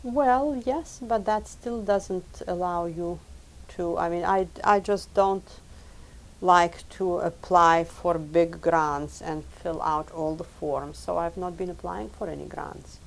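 A woman with a low voice and a gravelly edge, a native Lithuanian speaker, answering questions in a recorded interview. She talks in a measured, thoughtful way, often repeating words. A faint hiss sits under the recording. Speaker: professor and translator. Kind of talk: recorded oral history interview.

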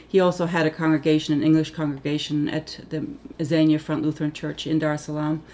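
Low-voiced woman, halting and thoughtful. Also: flat delivery, mellow. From Tanzania. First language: Finnish. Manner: thoughtful, halting, many ums and self-corrections. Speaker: interviewee, Africanist scholar. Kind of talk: reflective oral history interview.

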